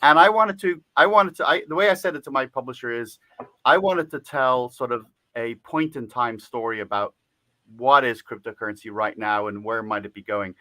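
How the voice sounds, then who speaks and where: A man chatting as an interviewed author, his tone bright and forward. He talks loosely with many fillers and restarts. The sound is dry and close. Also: mid-range voice, normal speed, no echo, rough recording. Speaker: guest author. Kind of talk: casual interview talk.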